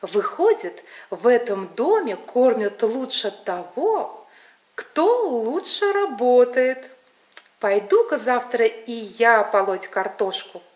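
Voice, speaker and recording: High-voiced village beauty read by a woman. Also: expressive, slow, roomy sound. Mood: earnest. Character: idle young village beauty turning hardworking.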